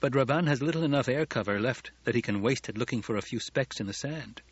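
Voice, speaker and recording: A man voicing a calm, commanding young desert leader in an audiobook, with a measured, assured delivery. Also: medium pitch, husky, no room sound, lo-fi sound. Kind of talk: audiobook character dialogue.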